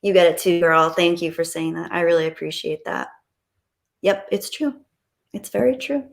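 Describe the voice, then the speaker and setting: A woman's voice: on the low side, moderate pace, gravelly. Chatty, appreciative woman hosting a livestream. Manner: gushing, appreciative. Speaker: livestream host. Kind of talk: casual chat, reading out viewer comments.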